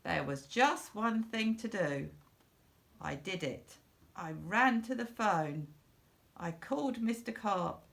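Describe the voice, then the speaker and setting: A woman narrates a children's storybook at a slow, deliberate pace. Her voice has a noticeable creak, and she stresses phrases expressively for young listeners. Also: medium pitch, lively intonation, a bit bright, a little hiss or hum. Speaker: storybook reader.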